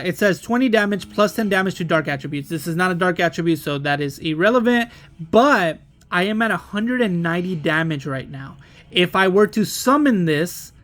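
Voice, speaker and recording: A man's voice: high voice, fairly bright tone. A man giving a casual, step-by-step tutorial as host, explaining as he goes and often checking in with "okay?". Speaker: host demonstrating a card game.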